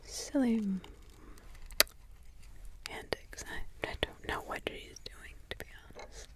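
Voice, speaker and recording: A woman voicing a protective witch grandmother in an ASMR roleplay, in a soft whisper with slow, rambling, repetitive asides. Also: close to an everyday voice. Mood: hushed, caring. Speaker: ASMR creator.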